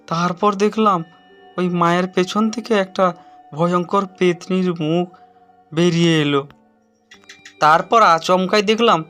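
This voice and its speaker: Male narrator for animated horror tale, high voice, first-person storytelling over music. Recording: music behind the voice.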